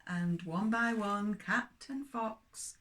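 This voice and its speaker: Slow-paced older woman telling children's stories. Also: mid-range voice. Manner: expressive, addressing small children, drawn-out words. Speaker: storyteller for young children. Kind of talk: children's story narration.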